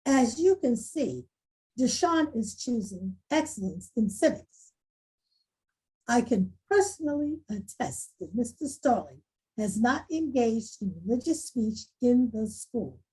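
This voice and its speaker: A woman playing a proud, composed school principal in a screenplay table read, speaking formally with a husky voice. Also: fairly high pitch, sing-song, slow, mellow, full character voice, low-quality recording. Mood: composed, supportive.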